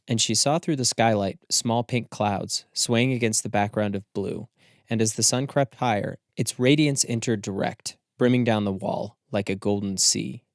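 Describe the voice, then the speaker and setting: Low, creaky male audiobook narrator. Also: dry recording, studio quality. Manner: measured, formal storytelling. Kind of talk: scripted literary audiobook narration.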